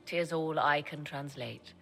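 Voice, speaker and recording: A woman's voice: deep voice, measured pace, creaky, fairly bright tone, a little hiss or hum, full character voice. A woman playing a proud, learned sorceress in a fantasy video game, speaking in archaic, formal, theatrical diction. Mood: measured, grave.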